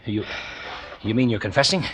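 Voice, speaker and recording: A man's voice: lowish voice, expressive, moderate pace, a bit bright, slight background noise, low-quality recording. An actor playing a nervous, incredulous policeman in a 1940s radio thriller, agitated and stammering. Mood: anxious disbelief.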